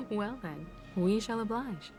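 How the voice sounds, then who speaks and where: A woman's voice: on the high side, big pitch swings, very slow, heavily acted. A woman playing an elegant, composed crew leader in a video game, polite and refined in her speech. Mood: gracious, composed. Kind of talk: scripted video game dialogue. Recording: music mixed under the voice.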